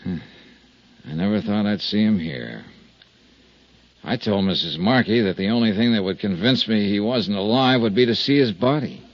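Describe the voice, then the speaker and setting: An actor playing a brash, wisecracking freelance insurance investigator in a 1950s radio drama, with punchy, wry delivery. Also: male voice, on the low side, moderate pace. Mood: puzzled. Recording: dull 1950s radio recording, music underneath.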